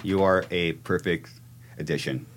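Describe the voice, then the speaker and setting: Low-voiced enthusiastic podcast host man. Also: measured pace, slight background noise. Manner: enthusiastic, slangy, repeats words. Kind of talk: casual interview chat.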